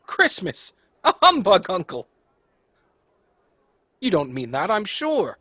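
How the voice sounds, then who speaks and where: An actor playing a cheerful, warm-hearted young gentleman in a radio play, speaking earnestly. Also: sits high, wildly swooping pitch, slow, husky, no room sound, studio quality, full character voice. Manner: earnest, impassioned, declamatory. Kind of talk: theatrical radio play acting.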